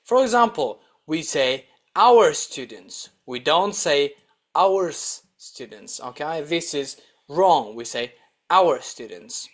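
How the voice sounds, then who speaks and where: A man teaching a scripted English grammar lesson in a deliberate, instructional way, repeating example sentences. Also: on the high side, lively intonation, a bit bright.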